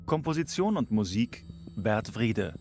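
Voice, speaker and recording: A man's voice: fairly low pitch, big pitch swings, measured pace, a little mellow. A man reading scripted closing credits as a radio drama announcer. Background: faint music.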